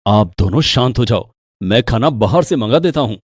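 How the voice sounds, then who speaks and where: A man's voice: mid-range voice, lively intonation, normal speed, no echo, clean studio sound, close to an everyday voice. A man playing the husband in a squabbling household, in scripted family-story dialogue. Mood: exasperated.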